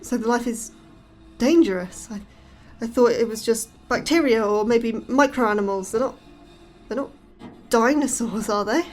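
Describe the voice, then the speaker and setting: An anxious woman scientist, new to her job, played by an actress in a sci-fi horror podcast. Her voice sits a little high, and she sounds hesitant, wary and unsure. There is music behind her. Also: moderate pace, a little mellow, natural voice. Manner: hesitant, uncertain, questioning. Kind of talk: audio fiction podcast acting.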